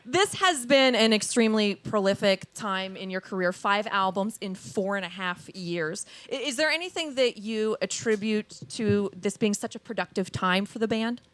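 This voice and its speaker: A woman's voice: medium pitch, animated, normal speed, few pauses, a bit bright, no room sound. A woman hosting a live event and interviewing guests on a small theater stage. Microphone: stage microphone.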